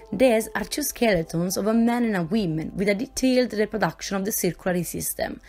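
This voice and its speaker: Female narrator, mid-pitched, measured scripted tour voice-over over faint music.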